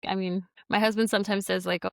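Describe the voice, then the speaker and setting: A woman's voice: mid-range voice, fast, vocal fry, no room sound. Chatty American woman, dry sound. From United States. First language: Spanish. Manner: chatty and animated, many likes and you-knows. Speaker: guest, studio founder and CEO. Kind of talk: casual conversational interview.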